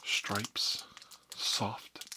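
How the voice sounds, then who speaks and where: A man playing a stern, pompous school principal in a whispered ASMR role play, scolding pupils in halting, drawn-out phrases. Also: background noise. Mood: stern, accusing. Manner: pompous, halting, drawn-out, repeats words for emphasis.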